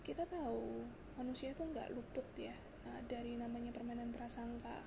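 A hoarse young woman hosting a casual solo podcast, chatty and confiding, with frequent fillers and restarts. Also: a little high, level tone, normal speed, background noise. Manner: chatty, confiding, mixes in English words, many fillers and restarts. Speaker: podcast host.

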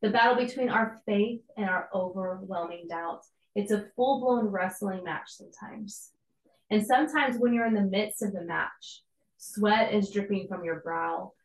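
Young woman, slightly hoarse, encouraging conversational preacher, roomy echo. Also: medium pitch, a little slow. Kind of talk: devotional sermon.

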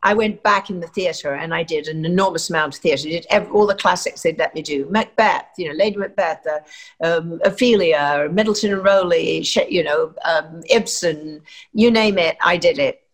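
Older woman, actress, from England, low pitch, chatty, rambling, lots of fillers. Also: moderate pace. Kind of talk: interview answers.

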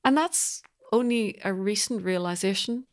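A woman's voice: medium pitch, normal speed. A woman with a Northern Irish accent talking reflectively in an interview, thoughtfully searching for words in short phrases. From Northern Ireland. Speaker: composer being interviewed.

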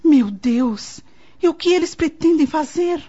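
A woman's voice: high voice, lively intonation, measured pace, mellow. A woman playing an anxious, fretful mother and grandmother in a radio soap opera. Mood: alarmed. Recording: dull lo-fi radio recording.

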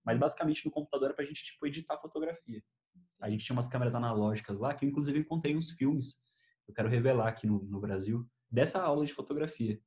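A young Brazilian man with a medium-pitched voice talks at a rapid clip. He recounts memories casually, with animated delivery and plenty of slang. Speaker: host. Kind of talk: casual chat, telling memories.

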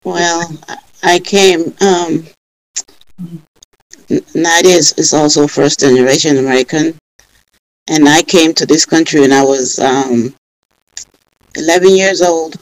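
A middle-aged woman with a foreign accent, recalling her life in a halting, conversational way as a guest on a video call. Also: sits low, steady tone, low-quality recording. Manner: halting, repeats words. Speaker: podcast guest. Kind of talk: conversational personal recollection.

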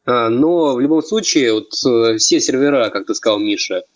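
A man's voice: medium pitch, lively intonation, normal speed, no echo, lo-fi sound. A man of twenty on a casual podcast, explaining things step by step. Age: 20s. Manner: explanatory, lays out points step by step. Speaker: podcast co-host.

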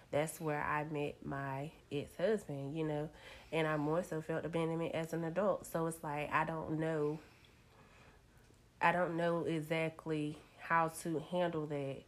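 A woman in her thirties co-hosting a casual talk show, candid and reflective, with a slightly raspy voice today. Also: deep voice. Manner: candid and reflective, many fillers. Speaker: podcast co-host. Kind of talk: casual chat.

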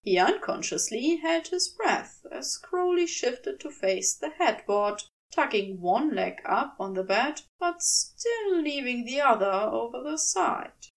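A woman reading fiction aloud as an audiobook narrator, in an expressive scripted storytelling style. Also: sits high, slow pace, few pauses, far from the mic.

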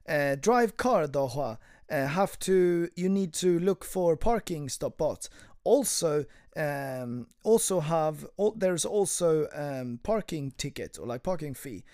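A woman's voice: low voice, animated, takes it slowly, darkish tone, no echo, studio quality. A woman teaching Mandarin in a casual two-person lesson, explaining words piece by piece and switching between English and Chinese. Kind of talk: bilingual language lesson chat.

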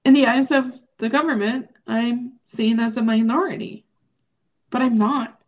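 A woman's voice: fairly even intonation, a little slow. Impassioned woman from Quebec. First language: French. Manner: emphatic, rises to high outraged questions. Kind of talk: impassioned political chat.

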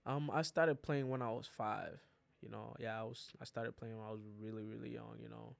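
A relaxed man from Ghana chats easily as an interview guest, his voice gravelly with a steady, flat pitch. The sound is dry and close, with no room around it. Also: fairly quick, no echo. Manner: relaxed, laid-back, many "you know" fillers. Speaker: podcast guest, soccer player. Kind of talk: casual interview chat.

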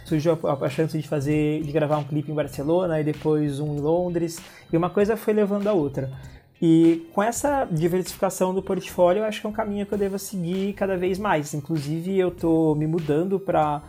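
A man's voice: a little high, mellow. A man with a Brazilian Portuguese accent, a filmmaker guest chatting casually about his work, with hesitant restarts and frequent laughs. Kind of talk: casual interview talk.

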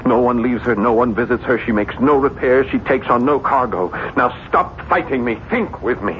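An actor playing a tormented, obsessive French writer in a radio drama, speaking with intense, halting, brooding delivery. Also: male voice, medium pitch, natural voice. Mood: brooding obsession. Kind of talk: 1970s radio drama acting. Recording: muffled, noisy vintage radio broadcast.

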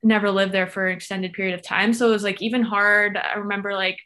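A woman's voice: medium pitch, flat delivery, fast, pushed and bright. A young woman from Florida, a former soccer player, telling her story in a chatty, filler-heavy way as a guest. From Florida, USA. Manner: chatty, lots of fillers and likes, laughs easily. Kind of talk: casual interview chat.

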